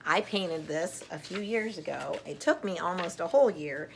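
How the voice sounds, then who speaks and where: A middle-aged woman reading a picture book aloud to children, gently and deliberately, with chatty asides. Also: fairly low pitch, vocal fry, slight background noise.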